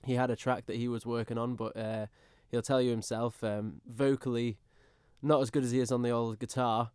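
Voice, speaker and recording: A man's voice: medium pitch, flat delivery, a little fast, a little mellow, smooth, dry recording. A young man with a Manx accent, a singer, chatting easily and laughing as a guest in a radio studio. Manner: laughing, easygoing. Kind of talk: casual radio interview.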